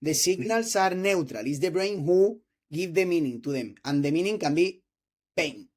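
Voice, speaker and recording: A man in his twenties from Spain, speaking English with a Spanish accent as a non-native speaker, hosting an interview with halting restarts and frequent throat clearing. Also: fairly high pitch, expressive, a little mellow. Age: 20s. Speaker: host interviewing a doctor. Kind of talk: casual interview talk.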